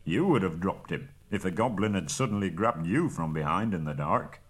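A man voicing a grumbling, put-upon male dwarf who complains loudly and indignantly in a storybook reading. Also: low voice, expressive, normal speed, slightly rough.

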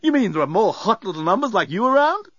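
A man's voice: high-pitched, very animated, fast talker, a bit bright, husky, dry recording, highs cut off, pitched above the performer's own voice, heavily acted. A man voicing a breezy, cheeky, over-familiar robot bellboy with exclamatory hipster delivery in an audiobook story.

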